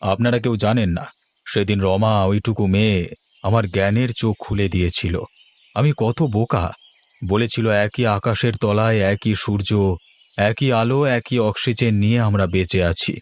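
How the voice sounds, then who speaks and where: A man voicing a stern village landlord with a soft heart in a cartoon drama, measured and earnest. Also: lowish voice, fairly even intonation, dry recording, close to an everyday voice. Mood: remorseful. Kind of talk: Bengali cartoon drama dubbing. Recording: music under the voice.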